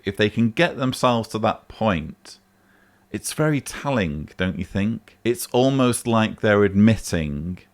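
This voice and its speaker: A man hosting a vlog, answering a voicemail in emphatic, argumentative casual commentary. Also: on the low side. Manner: emphatic, argumentative, rising sharply on key points.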